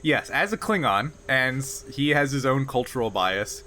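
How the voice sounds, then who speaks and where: A man working as game master, narrating a tabletop game off the cuff in a halting, conversational way. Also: mid-range voice, lively intonation, pushed and bright, a little hiss or hum.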